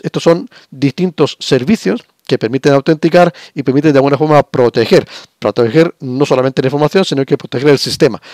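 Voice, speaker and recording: Hoarse older lecturer, dry sound. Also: male voice, mid-range voice, darkish tone, no echo. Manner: explanatory, repetitive, many fillers and restarts. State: has a cold, slightly hoarse. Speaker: university lecturer. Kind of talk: recorded lecture over slides.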